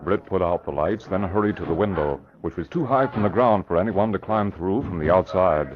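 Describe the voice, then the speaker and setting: A man's voice: deep voice, animated, brisk. A man narrating a scripted crime drama in 1940s radio style. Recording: dull old radio recording, crowd noise.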